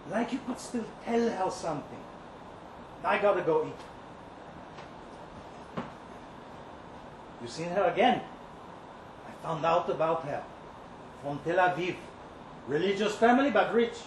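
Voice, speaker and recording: A man reading a dramatic monologue live, playing a cocky, lovesick, resentful kitchen worker in a colloquial, confiding, rambling way. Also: high-pitched. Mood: wistful. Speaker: story reader. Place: indoor live reading event. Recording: roomy, distant live-event recording, faint noise.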